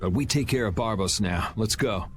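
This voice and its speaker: A man's voice: on the low side, moderate pace, fairly bright tone, a little hiss or hum, close to an everyday voice. A man voicing a cool, independent young rebel swordsman in a video game, laid-back and wry. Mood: cool, curious.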